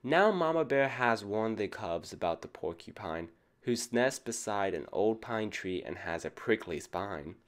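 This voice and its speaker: A man's voice: fairly low pitch, lively intonation, a little slow. A man reading a picture book aloud to children, addressing them like a teacher. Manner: asks young listeners questions as a teacher would. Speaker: storybook reader for children.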